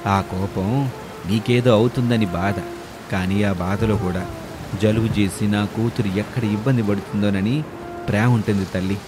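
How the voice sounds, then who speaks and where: A man voicing a gentle, affectionate middle-aged village father in a tender, soothing way. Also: on the low side, steady tone, normal speed, close to an everyday voice. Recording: music bed behind voice.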